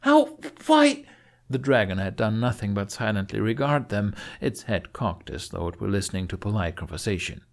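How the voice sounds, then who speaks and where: A man reading fiction as an audiobook narrator, in an expressive, scripted storytelling style. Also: fairly low pitch, a little fast, vocal fry, hardly any pauses.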